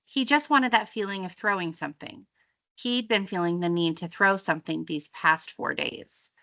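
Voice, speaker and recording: A woman reading a children's story aloud in an expressive, storytelling style. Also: lowish voice, normal speed, creaky. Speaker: teacher reading a book aloud.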